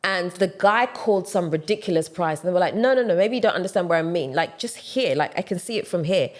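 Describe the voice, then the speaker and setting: A woman's voice: on the low side, expressive, fast talker. A woman talking straight to camera in a casual advice monologue, direct and emphatic, repeating her points for stress. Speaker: vlogger giving advice.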